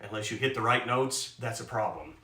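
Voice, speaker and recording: A man with a loud, bright speaking voice chats casually and pokes fun at himself between songs at the piano. He sounds a little distant from the microphone, with the roomy echo of a reverberant space. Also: on the low side, lively intonation, brisk. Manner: chatty and self-mocking, chuckling. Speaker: piano entertainer hosting a live stream.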